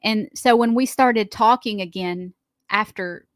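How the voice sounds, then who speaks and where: A woman's voice: mid-range voice, a little slow, dry recording, studio quality. A woman in her thirties co-hosting a morning radio show, telling stories with lots of laughs. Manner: chatty, giggly storytelling. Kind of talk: casual radio banter. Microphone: studio microphone.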